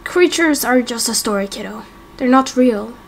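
A woman playing a gentle, worried, reassuring father in a game roleplay, with some background noise. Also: fairly high pitch, expressive, normal speed. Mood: gentle, concerned. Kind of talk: amateur game roleplay acting.

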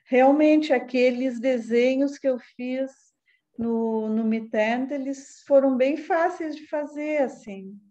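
A woman's voice: high-pitched, takes it slowly, warm tone. A woman chatting unhurriedly on a video call and asking questions. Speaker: online class participant. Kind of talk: casual chat. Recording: muffled video-call audio.

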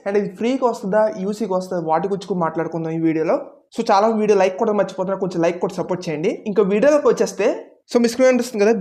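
Casting: female gaming YouTuber, Telugu accent, extremely rapid delivery, mid-range pitch, casual update style. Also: mellow.

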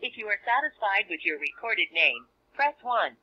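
A dull, lo-fi phone playback of a woman giving scripted voicemail menu prompts. Her pitch is animated and moves a lot, with a husky edge to the tone. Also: moderate pace. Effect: telephone. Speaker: automated voicemail system announcer.

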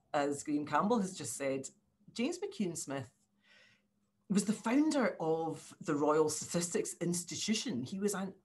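Older woman from Scotland, low voice, earnest academic speaking on an online panel. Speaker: university professor, panel speaker. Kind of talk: informal speech at an online launch. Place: living room at home, indoors. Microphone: video call.

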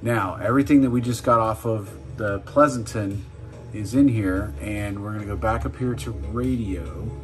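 Low-voiced man giving a casual tutorial. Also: moderate pace, soft background music. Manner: informal, punctuates steps with short exclamations. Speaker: tutorial presenter. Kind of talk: casual step-by-step how-to narration. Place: indoor room, behind a handheld camera.

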